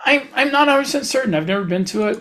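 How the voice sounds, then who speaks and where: A man co-hosting a podcast in casual chat, talking in a halting, rambling way. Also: very high voice, lively intonation, crowd noise. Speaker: podcast host; writer.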